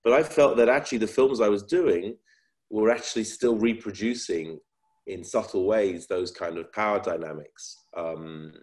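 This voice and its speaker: A man with a very low voice speaks as a filmmaker in a panel discussion over a video call. He is thoughtful and reflective, often rewording his points as he searches for them. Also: darkish tone. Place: indoor room on a video call.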